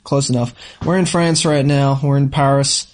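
A man's voice: medium pitch, steady tone, moderate pace, noisy background. A man co-hosting a podcast, chatting casually in an informal, rambling, slangy way. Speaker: podcast co-host. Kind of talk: casual chat about travel.

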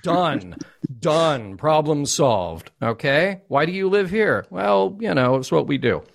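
A man hosting a casual fan discussion show, engaged and quick to respond to what he hears. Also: on the high side, animated, gravelly. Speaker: talk show host.

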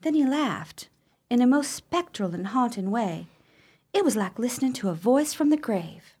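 A woman acting an unmarried, gossipy sister in an old-fashioned gothic radio drama, with a deliberate, formal and dramatic delivery. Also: fairly high pitch, expressive, darkish tone, breathy edge. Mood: ominous.

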